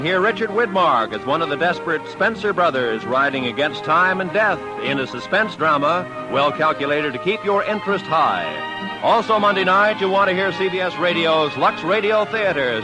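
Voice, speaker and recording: Over prominent music, a male American announcer delivers scripted 1950s radio copy. His voice sits fairly high and moves in lively, emphatic swings, formal throughout. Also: low-quality recording.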